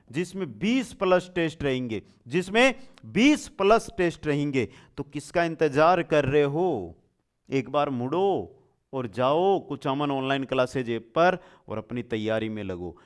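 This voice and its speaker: A man's voice: on the high side, clear, resonant tone. A man teaching an exam lesson, posing questions, repeating the answers and addressing students familiarly. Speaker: online exam coaching teacher. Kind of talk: quiz-style lesson, asking questions and giving answers.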